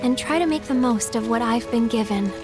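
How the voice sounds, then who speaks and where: A woman's voice: fairly high pitch, over music, close to an everyday voice. An actress playing an earnest, tender young woman speaking reflectively in a video game cutscene. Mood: bittersweet, heartfelt. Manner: tender, reflective, unhurried phrases. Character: gentle, earnest young woman, bittersweet and sincere.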